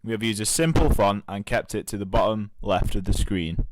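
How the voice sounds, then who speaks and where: Low-voiced young man reading coursework. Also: moderate pace, slight background noise, low-quality recording. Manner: reads steadily with small restarts. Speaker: media student. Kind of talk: reading an evaluation commentary.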